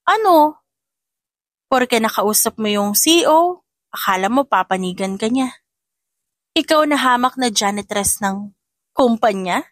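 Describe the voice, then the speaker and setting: A woman's voice: fairly high pitch, lively intonation, unhurried, long pauses, a bit bright, no echo, clean studio sound. A woman voicing a spiteful young female office bully in an audio drama, taunting and haughty.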